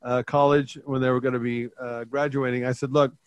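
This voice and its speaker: A man's voice: medium pitch, flat delivery, fairly quick, smooth, no echo, lo-fi sound. An older man hosting a casual interview, telling stories and giving emphatic advice. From Portuguese family, dairy upbringing. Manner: emphatic, storytelling, gives advice. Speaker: host, bodybuilding coach.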